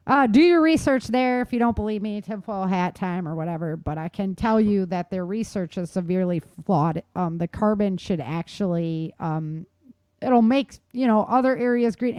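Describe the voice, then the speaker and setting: A woman's voice: medium pitch, mellow, dry recording. A woman co-hosting a talk show, giving informal news commentary in an opinionated, emphatic, conversational way.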